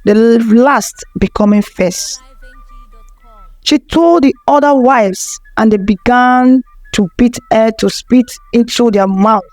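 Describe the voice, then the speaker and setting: A woman tells a folktale as a scripted narration, taking it very slowly. Her voice sits fairly high. The recording is very dry and close, with no room sound. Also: a little mellow, no echo, soft background music. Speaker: storyteller.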